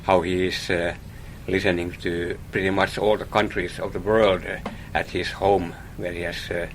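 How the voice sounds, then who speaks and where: Guy, really low voice, halting, repeats himself, faint hiss behind. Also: flat delivery. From Finland. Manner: hesitant, repeats words, laughs lightly. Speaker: radio listener guest. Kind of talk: casual radio interview.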